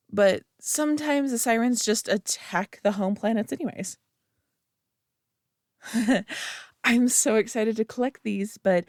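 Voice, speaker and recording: A woman's voice: mid-range voice, no room sound, lightly acted. Girl playing a nerdy friend rambling about her hobby, giggly, lots of "like", dead dry close mic. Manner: rambling, eager, full of "like" and giggles. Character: chatty young woman, nerdy friend gushing about a hobby. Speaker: voice actor in an audio roleplay. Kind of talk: scripted roleplay monologue to the listener.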